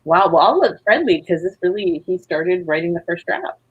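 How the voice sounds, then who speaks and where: A woman's voice: lowish voice, very animated, normal speed, breathy edge, no echo. Effusive woman, slightly dull dry recording. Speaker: playwright, interview guest. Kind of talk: casual chat.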